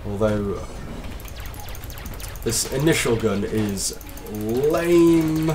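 A man with a slight cold, casually commentating his gameplay as a let's play host, excitable and self-deprecating. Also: medium pitch, very slow, recorded from a distance, faint music behind.

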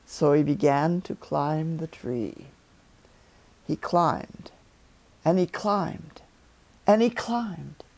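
An elderly woman reading a children's story aloud in an expressive, deliberate way, as a storyteller in her living room. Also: low-pitched, vocal fry. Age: 70s or older.

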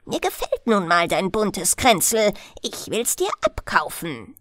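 A woman's voice: mid-range voice, sing-song, a little slow, a bit bright, dry recording, clean studio sound. A woman voicing a sly, greedy old crone of the forest in a bedtime fairy tale, coaxing and cackling. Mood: whispering, cajoling.

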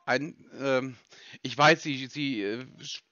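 A man's voice: mid-range voice, slow, bright, forceful tone, dry recording. A man posing as a customer on a phone call, probing and mock-naive, arguing and picking apart what he hears. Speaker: scam baiter posing as a customer.

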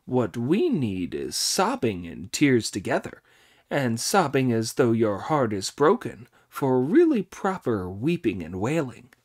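A man voicing a brash, cheeky monkey king who talks high and loud in an audiobook. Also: huge pitch swings, a little slow. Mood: bossy. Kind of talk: audiobook character voice.

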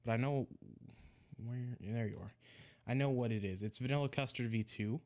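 A young man casually walking through a recipe in a home vlog, sounding a little congested, relaxed and rambling, often restarting his words. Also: medium pitch, moderate pace. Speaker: e-liquid mixing vlogger. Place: small room at home, indoors. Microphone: desk microphone in front of him.

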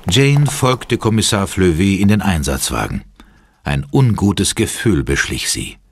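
A man's voice: low-pitched, very animated, unhurried, dry recording, studio quality, heavily acted. A man narrating a scripted audio drama in the third person.

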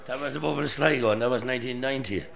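A middle-aged Irish man, a former footballer, telling stories with a laugh in a busy pub on a boom mic. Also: racing, a bit bright. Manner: gravelly, laughing storyteller. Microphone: studio mic on boom.

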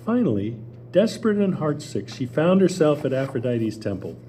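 An older man narrating a play in a measured storytelling manner, reading at a table on a small theater stage. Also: fairly high pitch, big pitch swings, warm tone, slight background noise. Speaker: stage reader. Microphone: table mic.

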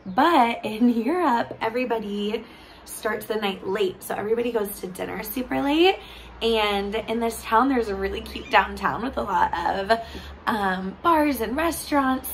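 A woman in her thirties, from California, chatting to camera on a balcony for her travel vlog, her voice a little hoarse, laughing often. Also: mid-range voice, a little hiss or hum, low-quality recording. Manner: bubbly, laughs often, lively hand gestures. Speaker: travel vlogger. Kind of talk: casual chat to camera. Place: sunny balcony outdoors.

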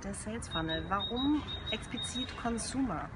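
A woman's voice: mid-range voice, lively intonation, moderate pace. A woman in her thirties, an interviewer asking short, upbeat questions outdoors. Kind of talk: conference interview. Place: outdoors by a brick wall. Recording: loud outdoor traffic noise.

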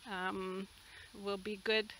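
A woman in her seventies from the Netherlands, a native Dutch speaker, giving a halting, earnest personal testimony. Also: mid-range voice, flat delivery, fairly bright tone. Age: 70s. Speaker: interviewee, retreat camp owner. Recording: clear background noise, outdoor ambience.

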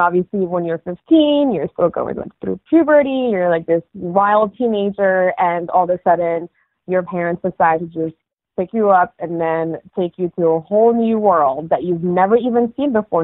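A woman's voice: medium pitch, no room sound. A woman from Morocco in her thirties, a guest chatting in an interview, with frequent restarts and fillers. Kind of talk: casual interview talk. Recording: dry tinny phone line.

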